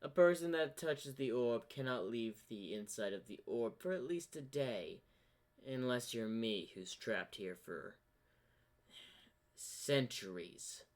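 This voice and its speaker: An ancient corrupted zebra spirit, acted out by a man for a fan cartoon, sly and manipulative. He drawls at a very unhurried pace, theatrical and teasing, as if bored by everyone. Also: medium pitch, expressive. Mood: smug, casual. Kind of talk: fan-made fantasy cartoon voice acting.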